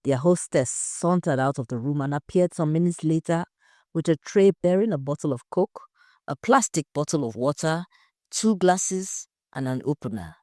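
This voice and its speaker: A woman's voice: low-pitched, lively intonation, moderate pace, darkish tone, dry recording, clean studio sound. A woman reading a scripted story as its narrator.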